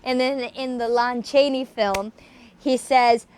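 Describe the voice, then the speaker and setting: Slow, high-voiced theatrical woman. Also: smooth. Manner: theatrical, dramatic, expressive. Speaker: amateur horror story reader and video host. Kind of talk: dramatic reading, then enthusiastic casual chat.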